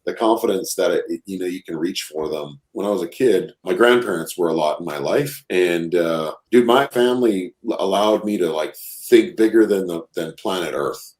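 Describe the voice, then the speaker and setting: A man's voice: deep voice, normal speed, darkish tone. A man in his forties hosting a podcast, talking candidly and casually, swearing freely and laughing along. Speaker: podcast host. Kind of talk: casual conversational interview. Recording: roomy echo.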